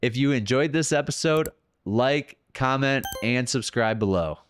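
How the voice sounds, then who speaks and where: A man's voice: mid-range voice, expressive, measured pace, faint music behind. A man hosting a podcast in a loose, chatty way, full of "like" fillers as he asks a guest casual questions. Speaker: podcast host. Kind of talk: casual interview chat.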